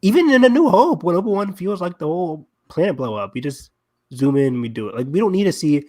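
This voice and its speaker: Man chatting casually on a podcast, animated. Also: fairly high pitch, fast talker. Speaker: podcast co-host. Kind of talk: casual fan podcast chat.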